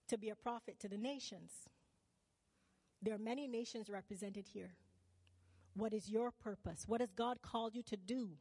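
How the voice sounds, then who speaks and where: A woman's voice: medium pitch, darkish tone, dry recording, in a large hall. A woman from Barbados preaching a sermon. She keeps asking the congregation questions and calls for "amen" and "right?".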